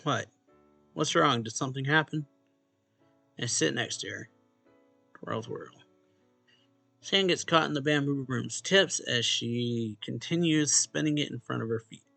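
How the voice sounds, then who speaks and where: A man's voice: medium pitch, a little slow, vocal fry, long pauses, sharp tone, dry recording. A man reading a visual novel's narration and dialogue aloud expressively, as a let's play host. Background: game music.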